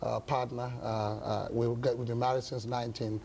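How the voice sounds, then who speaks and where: A pastor from Ghana, older, answering interview questions slowly with many pauses and fillers. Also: male voice, mid-range voice, level tone, gravelly, darkish tone. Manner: halting, many uh fillers, measured. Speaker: pastor and guest. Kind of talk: interview talk show.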